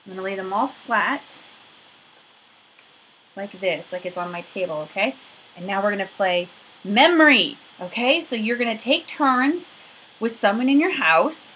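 A woman's voice: medium pitch, lively intonation, normal speed, pauses often, fairly bright tone, a little hiss or hum, low-quality recording. A woman in her thirties leading a craft activity for young children, talking to them directly in an encouraging way, recorded on a webcam at home. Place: small furnished room at home, indoors.

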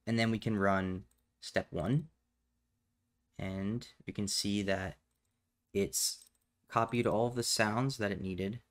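A man narrating a step-by-step software tutorial in a matter-of-fact, instructional way. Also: fairly low pitch, steady tone, slow pace, long pauses. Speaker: tutorial presenter.

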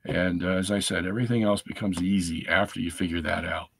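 A middle-aged man hosting a live stream from his home study, chatting casually and checking in with "okay?", hoarse from a lingering cold. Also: sits low, steady tone, brisk, no echo. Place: home study lined with bookshelves.

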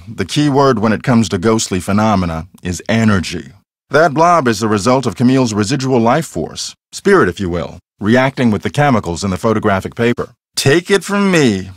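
Cocky, animated ghost hunter. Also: male voice, medium pitch, no echo, studio quality. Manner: theatrical, self-assured explaining. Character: earnest male TV ghost hunter, cocky paranormal investigator. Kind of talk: video game voice acting.

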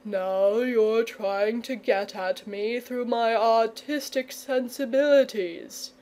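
A woman's voice: a little high, steady tone, takes it slowly, warm tone. A woman voicing a languid, coy, fussy male dragon in a storybook, with a leisurely drawl that can turn testy. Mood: wavering, wry. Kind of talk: storybook character reading.

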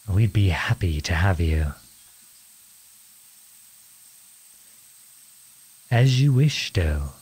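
A man acting a charming, teasing young outlawed prince in an ASMR-style romance roleplay, with a husky voice and a courtly, playful manner. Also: fairly low pitch, a little mellow, heavily acted. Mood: courteous, warm. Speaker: audio roleplay performer.

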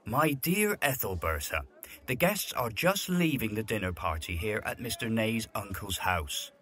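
A man's voice: lowish voice, big pitch swings, unhurried, bright, forceful tone, exaggerated voice. An actor playing a middle-aged, fatherly but irritable butler in a Victorian audio drama, with formal, precise phrasing. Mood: fond, earnest. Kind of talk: period audio drama acting.

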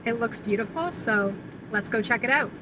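A woman's voice: fairly high pitch, expressive, normal speed, over loud music, poor audio. A woman in her thirties, a travel vlogger, giving chatty, informative commentary into a phone held at arm's length in a car. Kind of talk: casual travel vlog chat. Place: inside a car.

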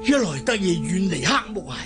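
An actor with a Hong Kong accent playing a weary, dignified old martial-arts recluse in a radio drama, mournful and halting. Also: male voice, high-pitched, big pitch swings. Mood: bitter, resigned. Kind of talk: Cantonese radio drama acting. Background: music.

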